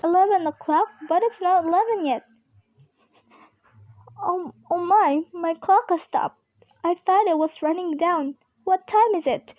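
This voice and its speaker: A woman's voice: extremely high pitch, measured pace, a little mellow, dry recording. A young woman in an amateur radio play acting a nervous, demanding invalid wife, agitated and stammering. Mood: upset, anxious. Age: young adult.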